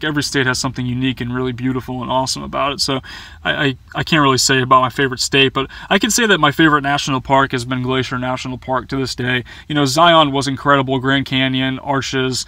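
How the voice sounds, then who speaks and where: Young male vlogger in a small van, bright voice and a dry close sound, chatty with many fillers and restarts. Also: medium pitch, fairly even intonation, fast talker, no room sound. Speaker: van-life vlogger answering viewer questions. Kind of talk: casual Q&A chat. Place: inside a small camper van.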